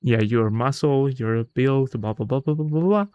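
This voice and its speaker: A man's voice: mid-range voice, normal speed, warm tone, smooth, no room sound, muffled recording. A man livestreaming a visual novel read-aloud in a casual, chatty way, often stumbling over words and correcting himself.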